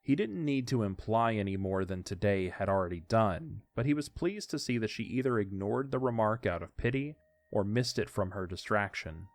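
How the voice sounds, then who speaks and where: A man's voice: fairly low pitch, lively intonation, normal speed, no room sound. A man reading scripted story narration as the narrator of an audiodrama.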